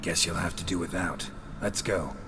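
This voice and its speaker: An actor playing a gruff, terse mercenary with a dry, laconic manner in a video game. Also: male voice, sits low, normal speed, lightly acted. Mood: deadpan. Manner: laconic, deadpan, clipped sentences. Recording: loud music behind.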